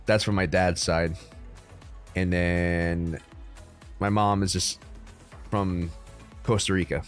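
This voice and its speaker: Young guy streaming from home, lowish voice, rambly and chill, faint music behind. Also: takes it slowly. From United States. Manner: relaxed, rambling, frequent ums and likes. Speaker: crypto livestream host. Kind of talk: casual livestream chat answering viewers. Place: room at home.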